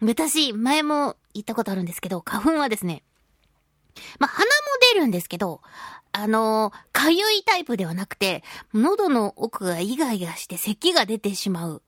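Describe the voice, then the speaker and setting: Female solo radio host: high-pitched, expressive, giggly, chatty delivery in a dry studio sound. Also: normal speed, no room sound. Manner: expressive, giggly, uses sound effects and little acted asides. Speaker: radio show host, voice actress. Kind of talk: casual solo radio talk.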